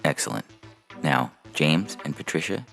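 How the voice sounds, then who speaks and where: A man playing a brisk, businesslike official in an audio fiction reading, formally running a procedure. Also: a bass voice, expressive, vocal fry, fairly bright tone, soft background music, full character voice.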